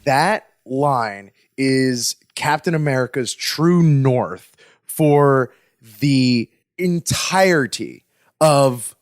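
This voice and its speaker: A man co-hosting a podcast, talking earnestly and thoughtfully about a film, often pausing with "uh". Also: medium pitch, lively intonation, no room sound, clean studio sound. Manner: earnest, thoughtful, frequent "uh" fillers. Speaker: podcast co-host. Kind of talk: casual chat about films.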